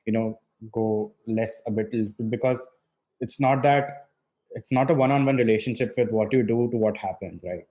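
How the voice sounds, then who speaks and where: A man's voice: mid-range voice, moderate pace, warm tone, rough recording. A man hosting a podcast, asking casual, curious questions. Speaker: podcast host. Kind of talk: casual interview chat.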